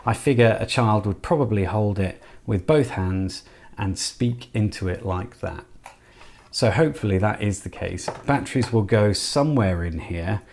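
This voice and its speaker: Low, creaky-voiced man, thinking aloud. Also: moderate pace, a little mellow. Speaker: host of an electronics project channel. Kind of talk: casual hands-on build demonstration, thinking aloud.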